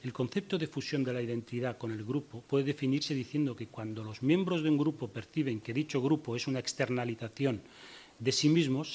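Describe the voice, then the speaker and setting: A man's voice: medium pitch, darkish tone, slightly rough. A man giving a short talk on a conference panel, hurrying to finish and reading passages aloud. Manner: hurried, reads quotes aloud. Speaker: panel speaker.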